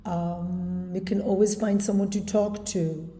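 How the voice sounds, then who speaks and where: A woman with an Israeli accent, talking casually to camera with many pauses and ums. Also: on the low side, fairly even intonation, warm tone. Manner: slow, hesitant, many ums. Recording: echoey room with long reverb.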